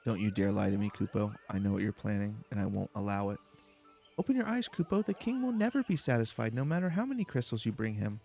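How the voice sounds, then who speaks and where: A man's voice: lowish voice, animated, fast talker, gravelly, mellow, soft background music. A man voicing a small, indignant fantasy game creature that makes righteous, declamatory accusations. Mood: indignant, accusing.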